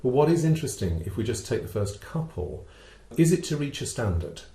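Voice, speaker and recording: A middle-aged man presenting a webinar as a vocal coach, explaining step by step in a measured way, posing questions and answering them. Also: mid-range voice, very animated, warm tone. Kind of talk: explanatory teaching talk with a co-presenter. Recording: roomy, reverberant sound.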